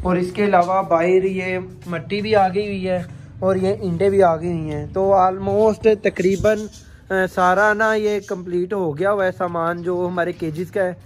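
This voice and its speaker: A man's voice: high-pitched, steady tone, measured pace. A young man with a Pakistani Punjabi accent, talking casually to camera as a vlogger. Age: young adult. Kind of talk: casual vlog talk to camera. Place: outdoors by roads, brick yards and shops. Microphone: phone at arm's length. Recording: clear outdoor traffic and ambient noise.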